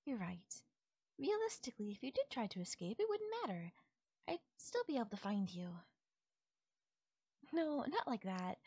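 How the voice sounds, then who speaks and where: A woman acts out a doting yandere fairy for an ASMR roleplay. The voice is breathy and very close and dry, with a sweet, possessive lilt that can sink toward a whisper. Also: a little high, sing-song, normal speed, pauses often, darkish tone, no echo, exaggerated voice. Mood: sweet, coaxing. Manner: sweet, breathy, lilting, sometimes dropping to a whisper. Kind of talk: intimate ASMR audio roleplay.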